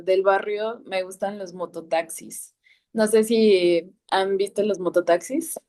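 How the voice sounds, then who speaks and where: A woman from Oaxaca introducing herself on a video call, gentle and a bit hesitant. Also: medium pitch. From Oaxaca, Mexico. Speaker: workshop participant. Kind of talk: casual self-introduction.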